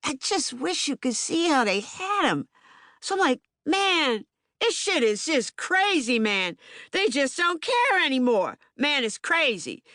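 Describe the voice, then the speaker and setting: A woman's voice: high-pitched, very animated, measured pace, sharp tone, no echo, studio quality, voice pushed up, exaggerated voice. A woman performing a theater monologue as an agitated, streetwise young man, speaking emphatically and colloquially with stammered repetitions. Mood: indignant, insistent. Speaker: actor in documentary theater. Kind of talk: dramatic monologue, audiobook theater.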